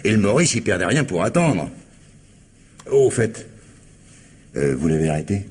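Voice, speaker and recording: Deep-voiced, gruff streetwise witness. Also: male voice, very animated, unhurried, heavily acted. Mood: indignant, defiant. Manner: colloquial, slangy, emphatic. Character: gruff male witness with a streetwise, indignant temper. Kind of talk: 1950s-style French radio crime drama acting. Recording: noisy old radio drama recording.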